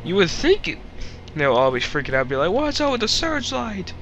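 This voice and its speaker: Animated, goofy young man commentating a game. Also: high voice, normal speed, highs cut off, soft background music. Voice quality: slightly congested, stuffy nose. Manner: rambling, goofy, jokey asides. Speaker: Let's Play host. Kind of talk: casual gameplay commentary.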